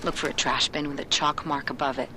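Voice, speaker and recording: An actor playing an agent's streetwise, anxious handler in a video game, talking urgently and informally. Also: male voice, fairly high pitch, steady tone, fast, background noise, lightly acted. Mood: briefing. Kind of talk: video game cutscene acting.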